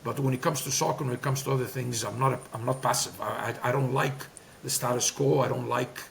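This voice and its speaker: Gravelly Greek-accented man, emphatic. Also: medium pitch, steady tone, quick pace, a bit bright, a little hiss or hum. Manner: talkative, emphatic, many fillers and restarts. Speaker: guest, former player and coach. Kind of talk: candid interview talk.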